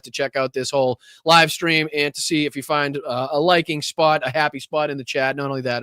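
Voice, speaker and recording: Brief: male livestream host, loose and profane, smooth voice, dry close mic. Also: medium pitch, a bit bright, no room sound. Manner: rambling, profane, many fillers. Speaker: podcast host. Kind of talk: casual livestream political commentary.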